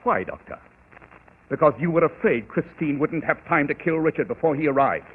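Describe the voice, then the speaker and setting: Arrogant master detective; incisive, husky, old broadcast. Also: male voice, a little high, animated. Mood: cool. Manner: measured, precise, dryly cutting. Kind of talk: 1940s radio acting. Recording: tinny old radio broadcast.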